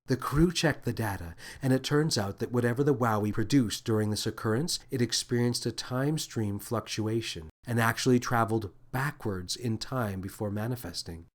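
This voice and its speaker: Man as a soft, soothing alien woman. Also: medium pitch, lightly acted. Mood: solemn. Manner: calm, measured, thoughtful. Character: gentle, soothing, wise female alien crew officer. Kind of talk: audio story character voice.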